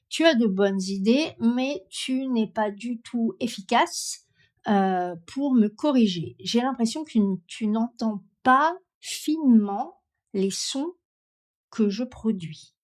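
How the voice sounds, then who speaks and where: A woman's voice: a little high, a little mellow. A woman speaking in a direct, critical way, questioning and demonstrating as a pronunciation coach. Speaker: pronunciation coach testing a voice assistant.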